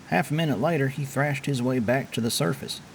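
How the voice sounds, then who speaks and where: A man's voice: medium pitch, fairly quick, creaky, a little hiss or hum. A man performing as a storyteller, giving theatrical scripted narration.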